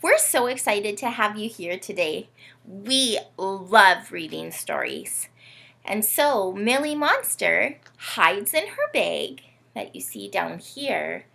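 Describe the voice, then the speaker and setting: Woman, children's read-aloud host. Very lively pitch, heavy creak, teacherly and question-led. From Canada. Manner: animated, teacherly, asks listeners questions. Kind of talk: children's storytime presenting.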